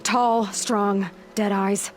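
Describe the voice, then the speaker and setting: A woman's voice: mid-range voice, steady tone, drawn-out words. A tough young female hunter in a game cutscene. She is guarded and blunt, in a mid-range voice with music behind it, speaking in short, direct phrases. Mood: probing, guarded. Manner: blunt, terse questioning. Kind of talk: dramatic video game cutscene acting.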